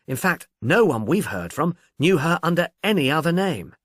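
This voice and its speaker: A man's voice: a little high, big pitch swings, normal speed, fairly bright tone, dry recording, voice pushed up. A man voicing a sceptical, exasperated policeman in an audiobook, precise and grudging. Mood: grudging, explanatory. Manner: dry, complaining, precise.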